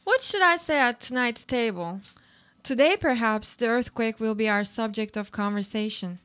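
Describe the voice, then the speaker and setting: A cynical, uneasy wife in a radio play, acted by a woman. Her voice sits fairly high, and she delivers brooding monologues in a deliberate, theatrical, poetic manner. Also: a bit bright, clear, resonant tone, lightly acted. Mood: uneasy, reflective.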